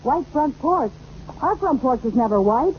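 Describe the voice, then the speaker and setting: An American actress playing a vain, playful, wisecracking suburban wife in an old radio comedy. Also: female voice, sits high, animated, unhurried. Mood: playful sleuthing. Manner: theatrical, wisecracking. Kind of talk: 1940s-50s radio comedy acting. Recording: muffled, noisy old radio recording.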